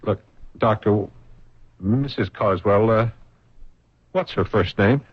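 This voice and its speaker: A secretive, anxious heart patient in a radio drama. His very low voice is husky and hesitant, breaking into halting phrases. The old recording is dry and dull. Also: dry recording. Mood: hesitant, guarded.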